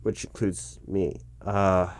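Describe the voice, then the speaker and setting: A man's voice: sits low, little pitch movement, slow pace. A man playing a flustered, awkwardly polite recruiting manager in a one-sided interview roleplay, speaking hesitantly and often repeating his words.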